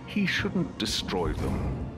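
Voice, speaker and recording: An actor playing a grandiose, theatrical, gloating villain, a conqueror through time, in a video game. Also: male voice, deep voice, wildly swooping pitch, slow, music in the background, heavily acted. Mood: scornful gloating. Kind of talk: video game cutscene acting.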